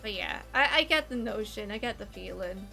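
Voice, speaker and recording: A woman's voice: medium pitch, animated, moderate pace, gravelly, sharp tone, faint music behind. A woman with a Geordie accent chatting casually while streaming a game, rambling with lots of "like" fillers and poking fun at herself. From North East England. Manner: rambling, many "like" fillers, self-deprecating. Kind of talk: casual chat while gaming, reading chat.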